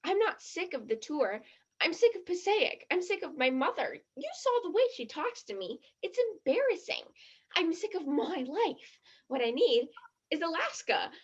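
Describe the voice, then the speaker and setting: A woman acts the part of an eager teenage girl in a stage play. Her voice sits high, and she speaks with gushing, dramatic earnestness. The sound is a little muffled and lo-fi. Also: very animated, normal speed. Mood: excited, impulsive. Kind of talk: one-act stage play acting.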